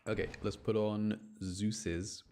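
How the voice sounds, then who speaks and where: A man's voice: on the low side, little pitch movement, slow pace, warm tone, slight background noise. A man giving casual, chatty live commentary as he streams a video game, talking to his viewers. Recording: music behind the voice.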